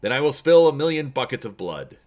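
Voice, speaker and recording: A man's voice: medium pitch, very animated, fairly quick, a little mellow, voice pushed up, close to an everyday voice. A man reading the part of a menacing, haughty Imperial governor general in a dramatic storybook reading. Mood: cold threat. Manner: haughty, threatening.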